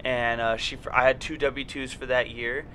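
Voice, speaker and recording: A man's voice: medium pitch, flat delivery, normal speed, bright, forceful tone, a little hiss or hum. A man hosting a casual podcast, rambling and swearing, with lots of fillers and repeated words. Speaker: podcast host.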